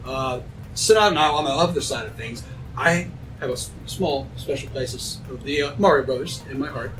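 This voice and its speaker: A man's voice: medium pitch, fairly quick, distant mic, background noise. A man chatting casually in a group about movies, rambling and correcting himself as he goes. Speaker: panelist at a fan round table.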